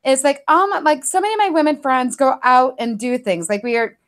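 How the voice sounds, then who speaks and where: High-voiced woman in her forties chatting. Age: 40s. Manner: animated, quick lists of examples. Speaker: podcast host. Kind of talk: casual chat.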